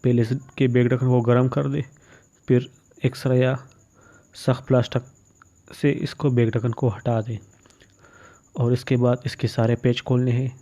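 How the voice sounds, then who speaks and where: A man's voice: medium pitch, level tone, normal speed, long pauses, warm tone. A man giving step-by-step repair instructions as a tutorial voice-over, in a plain, matter-of-fact way. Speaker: phone repair tutorial presenter. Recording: dull, muffled lo-fi recording.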